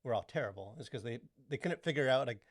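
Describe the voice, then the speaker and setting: A man's voice: mid-range voice, lively intonation, quick pace, no echo. Rambling man on a dry podcast mic. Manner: rambling, heavy use of "like" and "you know". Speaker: podcast co-host. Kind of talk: casual podcast chat.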